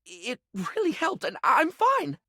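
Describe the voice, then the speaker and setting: A man's voice: very high voice, huge pitch swings, takes it slowly, bright, forceful tone, no echo, studio quality, full character voice. A man voicing a flamboyant, chatty living makeup kit in a video game, gushing and rambling, camp, insecure and eager to please. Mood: defensive, wistful. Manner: effusive, camp, gushing, rambling, calls people darling. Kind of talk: video game character voice acting.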